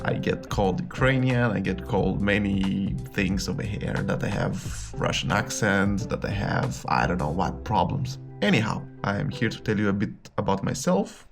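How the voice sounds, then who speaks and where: A man's voice: on the low side, expressive, normal speed, creaky, few pauses. A man in his late twenties speaking casually to camera, rambling and repeating words as he searches for them. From Romania. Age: late 20s. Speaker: YouTuber. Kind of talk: casual direct-to-camera vlog. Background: background music.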